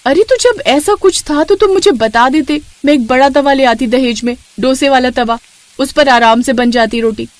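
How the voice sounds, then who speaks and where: A woman's voice: high-pitched, normal speed, dry recording, voice pushed up, close to an everyday voice. A woman voicing an exasperated, sassy young newlywed daughter-in-law who protests plaintively in a cartoon story. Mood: annoyed.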